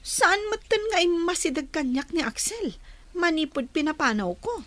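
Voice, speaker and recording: A woman's voice: high voice, big pitch swings, moderate pace, a bit bright, no echo. An actress playing a vain, lovestruck teenage schoolgirl with a Filipino accent in a radio drama. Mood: pouty, annoyed.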